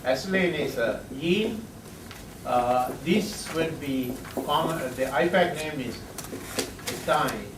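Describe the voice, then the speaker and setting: A man's voice: on the high side, recorded from a distance, background noise. Man, lecturing in an echoey room. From Sri Lanka. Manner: halting, many "uh" pauses, checks in with "right?". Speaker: chemistry professor. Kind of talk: classroom lecture. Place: lecture classroom. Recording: echoey classroom heard from afar.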